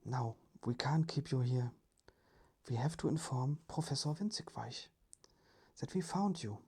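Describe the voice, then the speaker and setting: A storyteller voicing a friendly, considerate male family member in a breathy ASMR storybook whisper. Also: medium pitch, expressive, a little slow, darkish tone, exaggerated voice.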